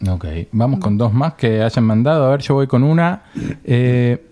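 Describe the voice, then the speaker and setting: Male interviewer, mid-pitched and relaxed in tone, casual conversational questioning. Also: normal speed.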